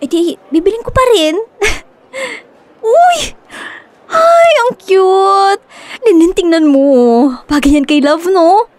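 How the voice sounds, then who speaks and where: A woman playing an excitable, stubborn young woman who dotes on her pet dog in a radio drama, gushing and chatty. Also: very high-pitched, takes it slowly, long pauses, dry recording, exaggerated voice. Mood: gushing, excited. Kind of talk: Filipino radio drama acting.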